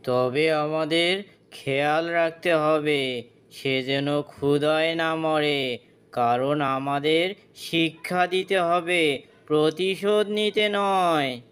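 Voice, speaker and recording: A man voicing a fair-minded bird in a children's cartoon, deliberate and moralising. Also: fairly high pitch, steady tone, fairly bright tone, clear, resonant tone. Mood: measured. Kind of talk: Bengali children's cartoon dialogue.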